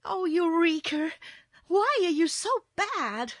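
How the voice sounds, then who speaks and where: A woman's voice: very high voice, very animated, slow, dry recording, studio quality, voice pushed up, full character voice. A woman voicing an earnest, emotional little girl in a storybook, anxious, pleading and scolding. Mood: provoked. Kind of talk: storybook character voice.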